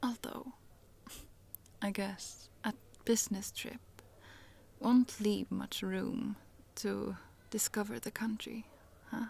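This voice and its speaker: A woman voicing a friendly, chatty young Swedish traveler with a Swedish accent, in a soft, giggly whisper for an ASMR roleplay. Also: medium pitch, fairly even intonation, slow, vocal fry, breathy edge, full character voice.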